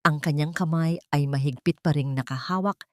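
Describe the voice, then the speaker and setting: A woman reading a scripted dramatic story in a measured, solemn, suspenseful way. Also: deep voice, dry recording, studio quality. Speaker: narrator of audio drama stories.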